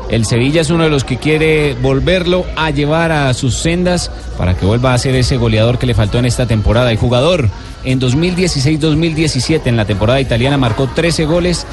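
A man on sports radio reporting transfer news in a steady, factual way. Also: medium pitch, fairly quick, over music.

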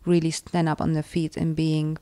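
A woman from the former Soviet Union, a native Russian speaker with a Russian accent, answering interview questions in a reflective way and often pausing to search for words. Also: low-pitched, monotone, mellow, no room sound. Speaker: author and interviewee.

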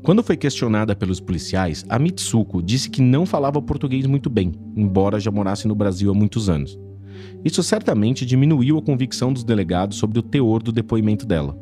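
A man reporting and narrating a true-crime podcast in a measured investigative way. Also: lowish voice, lively intonation, over music.

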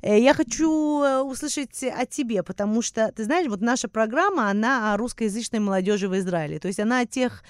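A woman's voice: a little high, a little fast, hardly any pauses, dry recording, studio quality. A woman hosting a podcast in a conversational interview style. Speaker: podcast host.

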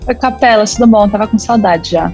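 A woman co-hosting a podcast, introducing the guest in a steady way. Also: medium pitch, a little fast, highs cut off, over music. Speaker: podcast co-host.

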